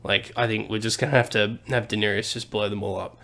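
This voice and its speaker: A man talks in a loose, animated way as he co-hosts a podcast. He rambles from thought to thought and swears casually. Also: on the low side, fast, creaky. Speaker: podcast co-host. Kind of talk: casual chat.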